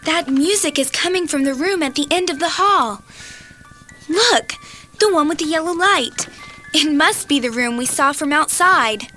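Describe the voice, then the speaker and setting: Easygoing, game-for-anything girl, kids' horror tape: high voice, unhurried, breezy. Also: pushed and bright, faint music behind. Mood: curious. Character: adventurous, easygoing young girl, a friend on a dare. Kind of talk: children's audio horror drama acting.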